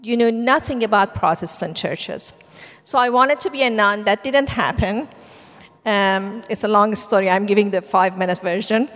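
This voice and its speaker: A middle-aged woman with a Persian accent speaks at a medium pitch, telling her life story in an earnest, unhurried way with frequent "um"s. Her voice rings with the long reverb of a large hall. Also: a bit bright. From Iran. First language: Farsi. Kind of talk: personal testimony talk.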